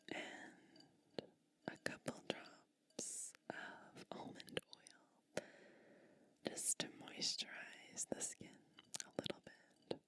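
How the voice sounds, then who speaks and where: A woman whispering a soothing ASMR roleplay as a gentle, whimsical sleep witch, speaking breathily and deliberately with pauses between short phrases. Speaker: ASMR performer playing a bedtime witch.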